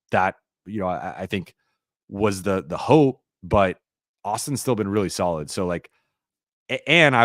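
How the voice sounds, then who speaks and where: A man hosting a live-stream Q&A, talking casually and rambling with lots of fillers and restarts, his voice a little raspy. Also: deep voice, no room sound, studio quality. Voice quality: slightly raspy voice.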